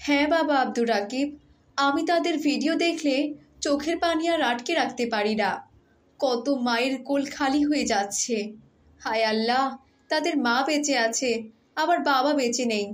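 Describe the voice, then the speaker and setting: A woman voicing a tender, devout mother in a cartoon, with a gentle, lamenting way of talking. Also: high voice, normal speed, close to an everyday voice. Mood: sorrowful. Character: tender, sorrowful devout mother of a young boy. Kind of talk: cartoon dialogue.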